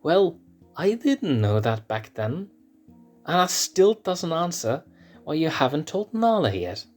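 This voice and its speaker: A man's voice: a little high, big pitch swings, slow pace, faint music behind, voice pushed up, full character voice. A man doing a stammering shy lion cub prince. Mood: defensive. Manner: hesitant, stammering.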